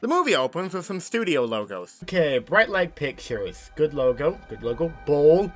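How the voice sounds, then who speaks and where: A man hosting a comedic movie review, narrating a script with sarcastic, deadpan asides and sudden dramatic reactions. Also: a little high, moderate pace, faint music behind.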